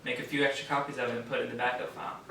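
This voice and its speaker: Self-assured male writer, played by a man, forceful, offhand stage-play delivery, recorded at a distance with faint noise. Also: mid-range voice, steady tone, very fast. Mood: encouraging, matter-of-fact. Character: self-assured male radio drama writer, mildly condescending. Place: theater stage, distant mic. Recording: very echoey stage, distant, noisy.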